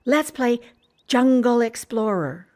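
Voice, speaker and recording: A woman's voice: fairly high pitch, animated, slow, darkish tone, voice pushed up. A woman voicing an imaginative little girl playmate in a children's storybook, explaining things with enthusiasm. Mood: excited. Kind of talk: children's storybook read-aloud.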